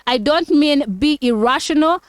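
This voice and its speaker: A woman's voice: high-pitched, normal speed, no echo, clean studio sound. A woman co-hosting a talk show, debating heatedly and repeating herself for emphasis.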